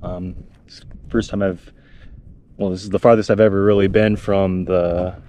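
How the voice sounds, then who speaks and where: A man in his thirties talking casually and haltingly to the camera as a solo outdoor vlogger. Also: low voice, flat delivery, warm tone. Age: 30s. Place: outdoors on open water and at a campsite. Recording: background noise from wind and outdoors.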